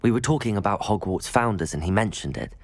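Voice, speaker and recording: Polite, slightly husky young student. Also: male voice, fairly low pitch, expressive. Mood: careful, apologetic. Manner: measured, courteous, diplomatic. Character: polite, persuasive teenage male wizard student. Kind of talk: video game cutscene acting.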